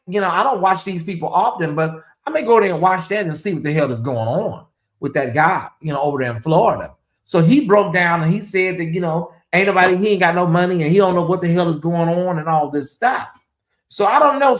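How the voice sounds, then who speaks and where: A man hosting a casual livestream from his cold home, hoarse and losing his voice, rambling and repeating himself with sarcastic asides to his viewers. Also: high-pitched, a bit bright. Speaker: livestream host. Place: home in Atlanta, cold room.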